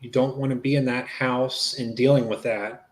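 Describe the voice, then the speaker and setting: A man playing a plain-spoken, gently probing and reassuring investigator in a dramatic reading of a police interview. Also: medium pitch, little pitch movement, normal speed, lightly acted.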